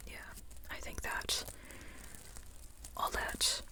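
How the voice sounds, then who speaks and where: An ASMR creator whispering an informal, rambling book chat in a breathy, unvoiced voice, tentative and softly repeating phrases. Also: very slow.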